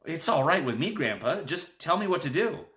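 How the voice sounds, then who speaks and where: A man's voice: fairly high pitch, expressive, moderate pace, bright, forceful tone, pitched above the performer's own voice. A man voicing an eager young country boy, talking in an astonished, wide-eyed way.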